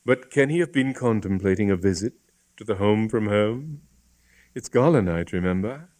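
A man's voice: fairly low pitch, animated, normal speed, a little mellow, close to an everyday voice. A man voicing an earnest, fussy young man with an emphatic, wordy manner in a comic story. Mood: horrified, grave.

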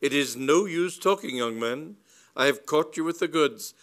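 A man's voice: mid-range voice, lively intonation, normal speed, lightly acted. Storybook matron, played by a male reader: formidable, imperious, cold and commanding, declaiming her indignation. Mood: indignant. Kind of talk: comic storybook reading.

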